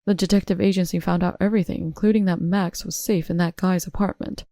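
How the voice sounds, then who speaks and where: Woman playing a cold, stern wronged husband, mellow. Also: lowish voice, steady tone, quick pace, no echo, clean studio sound, natural voice. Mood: cold accusation. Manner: cold, accusing, firm.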